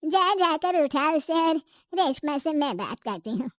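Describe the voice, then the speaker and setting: A woman's voice: high-pitched, lively intonation, measured pace, no room sound, clean studio sound. A woman voicing an exasperated middle-aged mother of three, grumbling about her daughters in a cartoon story.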